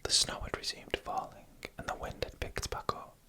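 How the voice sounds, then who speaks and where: A narrator reading scripted stories in an ASMR style, in a breathy, unvoiced whisper with hushed storytelling delivery. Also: normal speed.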